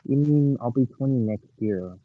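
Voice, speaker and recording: A late-teen guy talking on a stream call, his pitch swinging up and down as he makes his points. He hesitates and restarts, then argues with conviction. The line sounds thin and phone-like, with a faint hiss behind it. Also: moderate pace. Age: late teens. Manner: hesitant, animated, opinionated fan. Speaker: stream guest. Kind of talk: casual chat.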